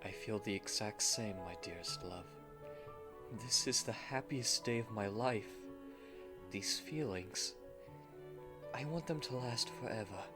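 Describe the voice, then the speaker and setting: A man voicing a tender, courteous young gentleman groom who speaks formally in an anime fan ASMR roleplay. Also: on the low side, a little slow, pauses often, over music, natural voice. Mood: tender, devoted. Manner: formal, romantic, courteous speech.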